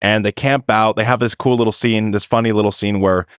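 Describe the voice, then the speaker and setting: A man's voice: on the low side, steady tone, a little fast, clean tone, no echo, studio quality. A man hosting a podcast, chatting casually and loosely as he recaps, with some swearing. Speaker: podcast host. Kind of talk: casual film chat, sweary.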